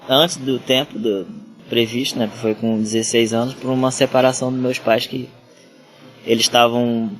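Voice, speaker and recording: Northeastern Brazilian man, trail-running guest, middle pitch, meandering casual storytelling full of restarts. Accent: northeastern Brazilian Portuguese. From Ceará, Brazil. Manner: rambling, with many restarts and fillers. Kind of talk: casual interview talk.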